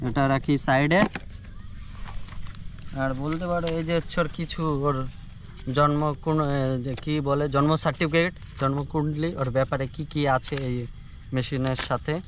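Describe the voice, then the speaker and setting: Young man vlogging outdoors, higher-pitched voice. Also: flat delivery, normal speed, pauses often. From rural India. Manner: informal, buddy-like address, repeats filler phrases. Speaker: moto vlogger. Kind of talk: casual unboxing vlog chat to camera. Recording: noisy outdoor ambience.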